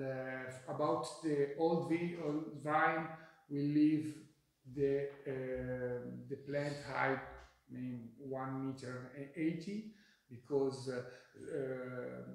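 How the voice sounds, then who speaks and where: A middle-aged Italian man whose first language is Italian, answering interview questions in halting English with many fillers, recorded by a far camera in an indoor tasting room. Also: medium pitch, fairly even intonation. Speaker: winemaker. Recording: very echoey room.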